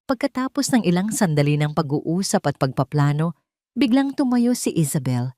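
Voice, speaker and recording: Female storyteller for scripted drama narration; lowish register, highly expressive intonation. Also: normal speed, no room sound, studio quality.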